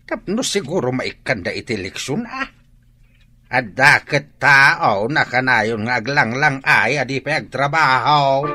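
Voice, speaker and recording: A man's voice: mid-range voice, lively intonation, a little slow, bright, forceful tone. A man playing a stern, grumbling villager in a radio drama. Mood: grumbling, scornful.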